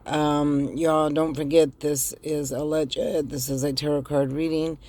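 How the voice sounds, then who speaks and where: A middle-aged woman with a raspy voice after the flu, giving a casual tarot reading to camera and talking directly to viewers, with hesitant ums, recorded inside a car on a phone held in one hand. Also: sits low, flat delivery, unhurried, warm tone. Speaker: tarot reader.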